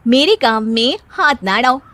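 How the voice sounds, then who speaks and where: A woman voicing a proud, bossy young wife in a cartoon story, boastful and quick to snap back. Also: high voice, expressive, a bit bright, no echo. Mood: bossy, snappy. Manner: brash, boastful, snapping back. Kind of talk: animated cartoon story dialogue.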